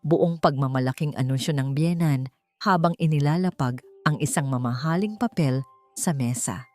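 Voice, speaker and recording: Lowish-voiced woman narrating drama. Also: normal speed, darkish tone, dry recording. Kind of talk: dramatic scripted story narration. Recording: music behind the voice.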